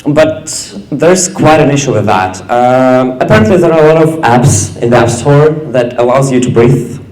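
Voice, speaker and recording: A young man giving a casual app demo on stage, halting with many fillers. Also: medium pitch, expressive, darkish tone, roomy sound, low-quality recording. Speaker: student presenter. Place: event stage.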